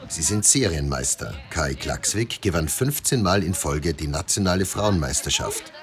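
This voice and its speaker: A man narrating a sports documentary in a measured, scripted voice-over. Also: sits low, animated.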